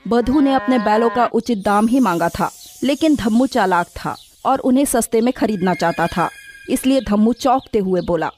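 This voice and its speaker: High-pitched woman narrating a story. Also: moderate pace, a little mellow, slight background noise. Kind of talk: scripted children's moral story narration.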